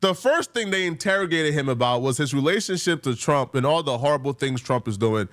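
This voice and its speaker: A man with a fairly high voice delivers casual opinion commentary. He speaks in emphatic, slangy phrases, laying out his take point by point like an online commentator. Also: expressive, fairly quick, a bit bright. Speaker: video commentator.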